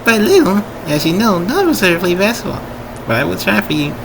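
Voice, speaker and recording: A man voicing an eager, affectionate giant female fantasy creature in an excitable put-on voice for a story. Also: fairly quick, gravelly, background noise, exaggerated voice. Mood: hesitant, willing. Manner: bright, excitable, high-pitched put-on voice.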